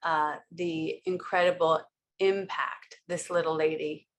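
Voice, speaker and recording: Woman host, introduces guest, lowish voice, emotional and halting. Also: steady tone, a little hiss or hum. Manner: emotional, gushing, halting with many "um"s.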